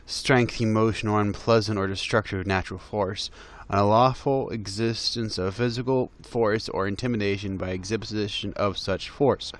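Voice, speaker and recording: A man's voice: on the low side, steady tone, moderate pace. A man giving exasperated, mocking reaction commentary on a video, laughing through his remarks.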